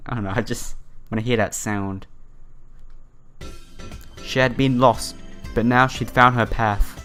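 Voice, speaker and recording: Guy w a sore throat reading a visual novel out loud, casual comments, music behind him. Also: mid-range voice, fairly even intonation, measured pace. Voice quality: slightly broken, sore-throated voice. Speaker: let's player reading a visual novel aloud. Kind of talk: casual playthrough, reading game text with side comments. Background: game music.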